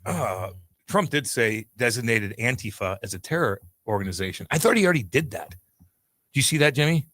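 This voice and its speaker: A man's voice: mid-range voice, animated, normal speed, fairly bright tone, no echo. A man hosting a sports talk show, joking and stumbling over his words while reading listener comments. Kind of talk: casual sports talk chat. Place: radio studio.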